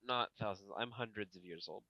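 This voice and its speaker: A man's voice: fairly low pitch, brisk, no echo, dull audio. A young man playing in a casual tabletop game with friends. He sounds sheepish and laughs often. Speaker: player.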